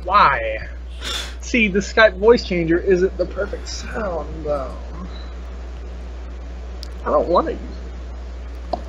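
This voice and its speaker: A man's voice: sits high, big pitch swings, slow pace, long pauses, fairly bright tone, dull audio. A young man chatting casually as a podcast host, with a playful, silly, teasing manner. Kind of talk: casual joking chat. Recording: noisy internet call recording.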